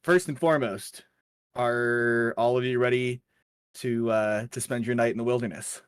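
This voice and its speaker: A man voicing a seasoned, folksy mentor in a tabletop game, talking in an encouraging, colloquial, halting way. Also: mid-range voice, steady tone, smooth, no echo, lightly acted. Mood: businesslike. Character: seasoned folksy male mentor sending young adventurers out.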